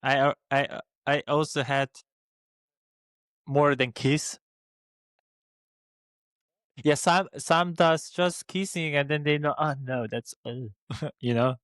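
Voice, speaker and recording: A man's voice: a little high, takes it slowly, pauses often, a bit bright, smooth, no room sound. A young man from Slovenia with a Slovene accent, co-hosting a casual podcast chat and speaking hesitantly with many restarts and fillers. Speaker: podcast co-host.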